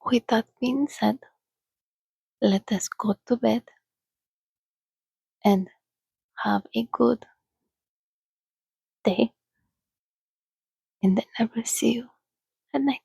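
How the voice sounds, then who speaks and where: Woman, soft-spoken ASMR host, soothing and often whispered, unhurried delivery with a creaky, close dry sound. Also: a little high, no echo, low-quality recording. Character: calm, sociable female vampire soothing a companion.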